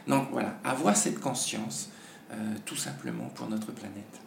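A hoarse man, a podcast guest, talking in a halting way with many restarts. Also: medium pitch, reverberant, slight background noise. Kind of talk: casual conversation.

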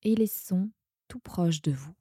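A woman guiding a sleep meditation in a soothing, hushed, breathy manner that often nears a whisper. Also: fairly low pitch, expressive, measured pace, no room sound, clean studio sound. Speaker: yoga nidra guide and podcast host.

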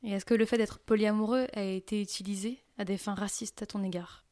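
A woman's voice: mid-range voice, fairly even intonation, fairly quick, mellow. A woman interviewing someone, asking short, direct questions.

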